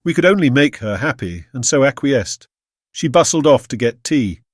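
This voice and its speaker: Actor as an earnest British gentleman diarist, dry sound. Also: mid-range voice, animated, moderate pace, no echo, natural voice. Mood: solemn, anxious. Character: earnest Victorian gentleman doctor reading his diary. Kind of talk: scripted dramatic audiobook narration.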